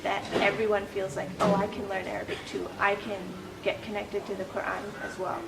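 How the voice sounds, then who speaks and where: A young woman raised in the United States, answering interview questions thoughtfully, with unhurried phrasing and hesitations. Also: medium pitch, flat delivery. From born in Canada, raised in the United States. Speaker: interview guest, Quran instructor. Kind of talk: conversational interview. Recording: distant mic with clear background noise.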